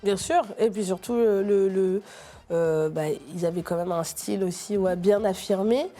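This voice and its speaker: A middle-aged woman from Martinique talks through her answers in a mellow, warm tone. She hesitates often, restarting her sentences and filling pauses. It sounds like a relaxed interview conversation. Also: medium pitch, faint music behind. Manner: hesitant, many fillers and restarts. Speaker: street artist guest. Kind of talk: casual interview talk.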